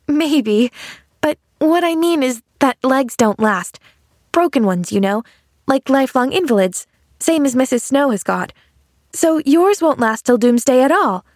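A woman's voice: high-pitched, animated, unhurried, darkish tone, dry recording, studio quality, pitched above the performer's own voice. A woman voicing a cheerful, naive little girl in an audiobook, eager and earnest, rambling on chattily. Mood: earnest, chatty. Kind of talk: audiobook character dialogue.

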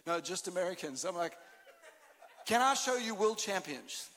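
A man's voice: high voice, big pitch swings, a bit bright, slight background noise. A man with a South African accent, a guest pastor opening his sermon in a playful, teasing way. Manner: playful, teasing, quick to laugh. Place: large church sanctuary. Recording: long hall reverb.

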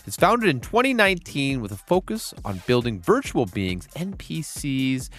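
A man's voice: medium pitch, sing-song, normal speed, a bit bright, soft background music. A middle-aged man hosting a podcast interview, gushing with praise for his guest. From Canada. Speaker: podcast host. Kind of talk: casual interview.

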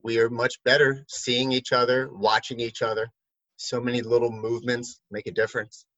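A man who works as an attorney, asking a colleague questions in a relaxed, rambling way with many fillers. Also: medium pitch, normal speed, no echo, muffled recording. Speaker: attorney and interviewer. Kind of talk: conversational chat.

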